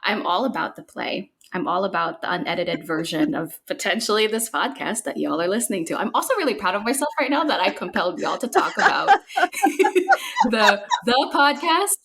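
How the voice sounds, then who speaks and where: A woman's voice: mid-range voice, very animated, normal speed, gravelly, fairly bright tone. A woman from the Philippines, a psychotherapist, talking casually and reflectively on a podcast, thinking aloud with fillers and restarts. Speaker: guest psychotherapist.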